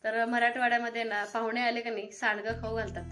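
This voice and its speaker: A woman's voice: fairly high pitch, flat delivery, fast, a bit bright, soft background music. A woman with a rural Maharashtrian Marathi accent, chatting casually as she narrates a home cooking vlog. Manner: chatty, conversational. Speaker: family vlog host.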